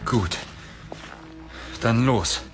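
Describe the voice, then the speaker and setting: A man's voice: medium pitch, extremely slow, music in the background. A man playing a sceptical, cautious young adventurer who leads his friends in a German audio drama. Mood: cautious, commanding.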